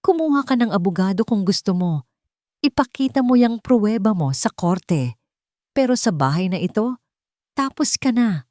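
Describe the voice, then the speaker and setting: In a storybook drama, a wronged, wealthy husband acted by a woman lays down orders. He is stern and commanding, his pitch raised in anger, the sound dry. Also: expressive, normal speed, long pauses, mellow, no echo, close to an everyday voice. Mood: furious, cold. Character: angry betrayed husband, rich man dismissing his maid.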